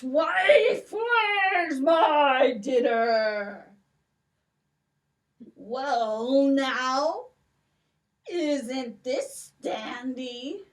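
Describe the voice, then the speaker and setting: A greedy storybook giant acted out by a woman for a puppet show, hungry and gloating. He draws his words out very slowly and theatrically. The voice sounds a little way off from the microphone. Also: high voice, recorded from a distance, heavily acted. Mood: demanding, hungry. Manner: slow, gloating, drawn-out delivery. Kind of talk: children's puppet show folk tale.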